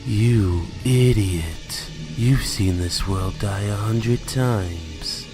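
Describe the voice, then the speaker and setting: Evil little flower villain, mocking and spiteful, really slow talker, loud music behind. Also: male voice, on the low side. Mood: scornful. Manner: mocking, taunting, drawn-out delivery. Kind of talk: fan comic dub acting.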